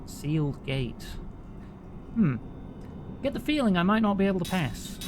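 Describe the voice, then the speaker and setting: A man's voice: sits high, sing-song, a little slow, background noise. A man giving casual, joking commentary while he plays a video game and thinks aloud. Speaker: streamer. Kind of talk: casual let's-play commentary. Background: game music.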